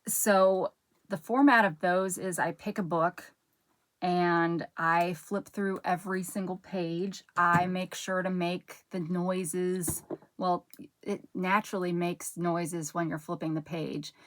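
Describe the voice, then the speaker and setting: A young woman with a low, soothing voice talks her way through an auction haul in a loose, rambling chat. She stops mid-sentence, circles back and muses aloud as she sorts each item. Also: level tone, slow pace, no echo. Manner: rambling, chatty, self-interrupting while sorting items. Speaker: channel host. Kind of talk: casual haul vlog chat.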